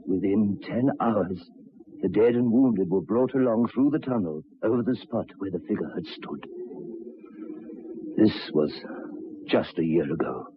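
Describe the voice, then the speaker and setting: An actor in an old radio drama playing a troubled railway signalman, speaking in a grave, deliberate, halting way. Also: on the low side, noisy background, lightly acted. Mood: haunted, grave. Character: haunted, troubled railway signalman telling of ghosts. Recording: dull lo-fi old radio recording.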